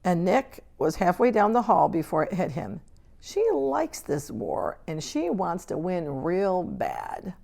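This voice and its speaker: Female storybook narrator, lower register with a creaky edge, reading aloud for children. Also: wildly swooping pitch, slow pace, a little mellow. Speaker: reader. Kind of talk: children's book read-aloud, scripted narration.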